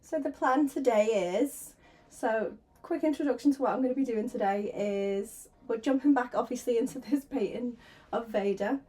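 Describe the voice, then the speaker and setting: A woman's voice: on the high side, warm tone. A woman with a sore throat, chatting and giggling nervously as she streams herself painting. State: sore throat, slightly hoarse. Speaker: artist streaming a painting session. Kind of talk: casual livestream chat. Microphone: desk microphone.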